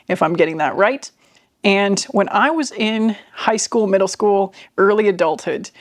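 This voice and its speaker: A woman with a deep voice telling a reflective personal story to camera, seated at home by a nearby microphone, laughing softly between phrases. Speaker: vlogger. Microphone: microphone near her.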